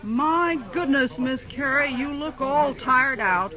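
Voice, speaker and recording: A folksy older diner keeper. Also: female voice, high voice, expressive, takes it slowly, crowd noise. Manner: homespun rural dialect, exclamations. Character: folksy older woman restaurant keeper, fussy and kindly. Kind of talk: 1930s radio drama acting. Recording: dull old radio recording.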